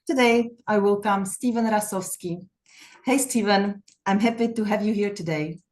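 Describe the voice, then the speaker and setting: A woman hosting an interview, politely reading a guest introduction and asking measured questions. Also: medium pitch, darkish tone. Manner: polite, measured, reads lists slowly. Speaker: interviewer, festival host.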